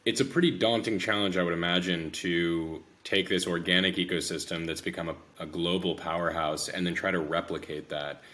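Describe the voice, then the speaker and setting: Deep-voiced American man interviewing. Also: fairly even intonation, a little fast, hardly any pauses, fairly bright tone. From United States. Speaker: podcast host and interviewer. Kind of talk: conversational interview.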